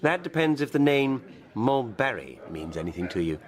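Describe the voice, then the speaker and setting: An actor playing a wry, polished, teasing Victorian gentleman who relishes gossip, in a radio drama. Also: mid-range voice, very animated, moderate pace, lightly acted. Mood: relishing gossip. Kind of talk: period radio drama acting.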